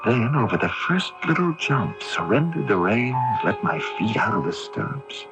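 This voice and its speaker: An actor playing a troubled, anxious young son in a radio drama, brooding and questioning, stammering under stress. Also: male voice, on the low side, sing-song, moderate pace. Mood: brooding, puzzled. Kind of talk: radio drama acting with first-person narration. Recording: muffled early-1980s AM radio broadcast, music behind.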